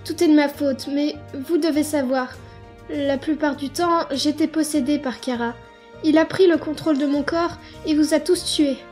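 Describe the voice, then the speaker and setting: A woman voicing a gentle, hopeful young boy in a comic dub, speaking earnestly and pleadingly. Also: high-pitched, fairly even intonation, moderate pace, soft background music, lightly acted. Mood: apologetic. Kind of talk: animated comic dub acting.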